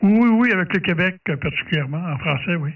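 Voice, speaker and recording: A thin, distant radio-band sound frames a man with a slightly high voice and a Quebec French accent. He chats casually as a ham operator, pausing often and saying things twice. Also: animated, phone-quality sound, slight background noise.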